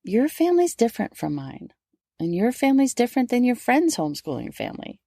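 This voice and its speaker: A middle-aged woman with a mellow, relaxed voice and noticeable vocal fry. She talks in an instructive way straight to the listener, as a solo podcast host. The sound is close and dry. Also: on the high side, brisk, no room sound. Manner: instructive, addressing listeners directly. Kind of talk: solo podcast advice talk.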